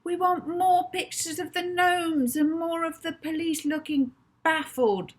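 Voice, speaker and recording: A woman voicing an excitable, cheeky young boy in a children's storybook, exclaiming and teasing. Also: extremely high pitch, a little slow, voice pushed up. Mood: excited, demanding. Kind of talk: children's storybook character dialogue.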